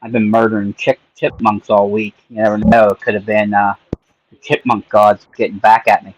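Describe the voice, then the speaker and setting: A man's voice: on the low side, level tone, normal speed, muffled recording, a little hiss or hum. A man hosting a casual livestream, joking and chatting to himself while he picks locks. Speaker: livestream host. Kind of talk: casual chat while lockpicking.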